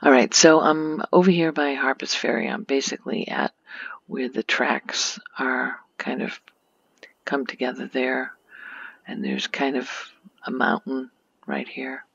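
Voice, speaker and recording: Slow, breathy soft-spoken woman with vocal fry. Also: very deep voice, fairly even intonation, no room sound, lo-fi sound. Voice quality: soft, hushed, breathy, often slipping into whisper. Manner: gentle, unhurried, informal. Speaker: ASMR video creator and gamer. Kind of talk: soft-spoken ASMR game tour commentary.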